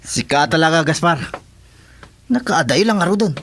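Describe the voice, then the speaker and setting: A man's voice: a little high, animated, normal speed, noisy background. A man acting a loyal, wary henchman sidekick in an Ilocano radio drama. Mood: wary, grumbling.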